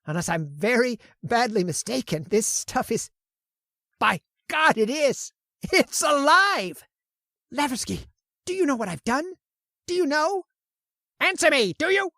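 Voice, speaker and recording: A woman's voice: high-pitched, very animated, measured pace, pauses often, fairly bright tone, no echo, studio quality, heavily acted. A woman voicing a manic, arrogant male scientist in a radio horror play, bullying his assistant and gloating in frantic outbursts. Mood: manic triumph. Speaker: voice actor. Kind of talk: old-time radio drama acting.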